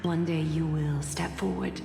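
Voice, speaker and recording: A woman voicing a grave, gentle noblewoman in a video game, speaking slowly like a solemn prophecy. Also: sits low, fairly even intonation, gravelly, noisy background. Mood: solemn farewell. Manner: slow, solemn. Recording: echoey reverberant hall over music.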